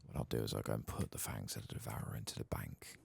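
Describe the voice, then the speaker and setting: Very deep, gravelly soft-spoken man. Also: flat delivery, fast talker. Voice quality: husky, gravelly. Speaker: video game streamer. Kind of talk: soft-spoken ASMR game playthrough.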